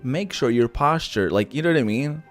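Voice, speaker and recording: A man's voice: mid-range voice, lively intonation, normal speed, soft background music. A man giving casual, sassy, digressive game commentary in slangy speech. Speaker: gaming streamer.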